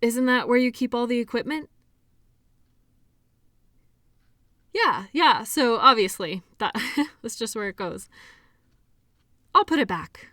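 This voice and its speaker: Soft-spoken caring doctor, acted by a woman, very dry sound. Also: fairly high pitch, expressive, moderate pace, dry recording. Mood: flustered, shy. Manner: soft, hesitant, giggly, conversational. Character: caring, shy doctor, a woman, teasing and softly admiring. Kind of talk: intimate audio roleplay acting.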